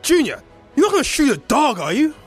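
A man's voice: medium pitch, fairly quick, music in the background, close to an everyday voice. An actor playing an anxious father who frets and cautions, in a comedy. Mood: worried, apologetic. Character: anxious, henpecked father of the villain. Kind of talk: comedic character acting.

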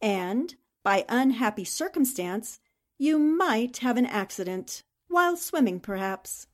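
A woman's voice: fairly high pitch, lively intonation, unhurried. A woman playing a coolly sinister Nazi countess, silky and condescending, in old-time radio drama style. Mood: smug, threatening.

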